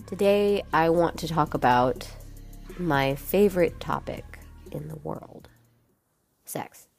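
A woman's voice: low-pitched, slow, creaky, a little mellow, soft background music. A young woman with a cold, sounding stuffed up, hosting a frank, chatty solo podcast and swearing casually. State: congested from a cold. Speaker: podcast host.

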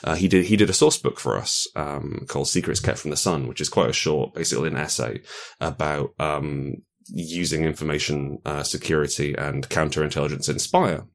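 A man with a low voice talks casually about role-playing games as a guest designer. He often doubles back on his phrases, hesitating as he finds the wording. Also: a little fast, creaky.

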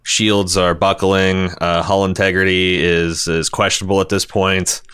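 A middle-aged American man from Indiana co-hosting a podcast, talking casually and candidly in halting, rambling runs with some swearing. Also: sits low, little pitch movement, fairly bright tone, clear, resonant tone. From Indiana, United States. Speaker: podcast co-host.

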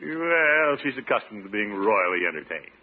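Pompous, swooping middle-aged radio-comedy uncle. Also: male voice, lowish voice, sing-song, moderate pace. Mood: self-satisfied. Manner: pompous, flustered, chuckling. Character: pompous middle-aged bachelor uncle, self-satisfied bumbling charmer. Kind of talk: 1940s-50s radio comedy acting. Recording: vintage radio recording, narrow band, hissy.

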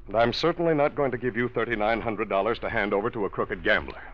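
Stern, low-voiced older uncle played by a man. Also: fast, close to an everyday voice. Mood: stern, firm. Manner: lecturing, righteous, formal. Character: stern moralizing older wealthy businessman uncle. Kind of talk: 1940s radio drama acting. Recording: dull old radio recording.

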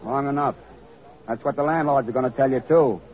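A man's voice: mid-range voice, a little fast, close to an everyday voice. An actor playing an eager, worried henchman with an American accent, slangy and deferential in an old radio drama. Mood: worried insistence. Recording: muffled old radio recording.

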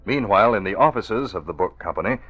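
A male announcer giving measured, formal scripted narration for a radio drama. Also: on the low side, animated, fairly bright tone. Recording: dull old radio recording with music.

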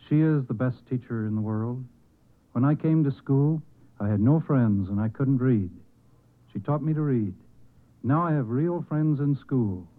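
A man with an American accent, a professor guest, reading letters aloud on old-time radio in a formal, earnest manner. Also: medium pitch. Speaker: professor and scholarship committee chairman, guest. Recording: muffled narrow-band vintage radio recording.

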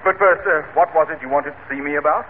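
An actor playing a genial, fussy business college manager, effusive and gushing, in a 1940s radio comedy. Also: male voice, on the high side, fast talker, slight background noise, lightly acted. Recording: tinny old radio broadcast.

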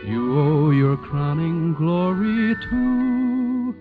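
A man's voice: a little high, drawn-out words, music in the background. A man singing a vintage radio advertising jingle on a tinny old recording.

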